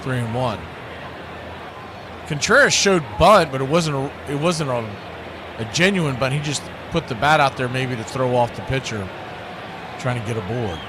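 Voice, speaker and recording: A man's voice: medium pitch, animated, normal speed, pauses often, background noise. A man doing live baseball play-by-play in a folksy style, fond of nicknames and catchphrases. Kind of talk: live sports commentary.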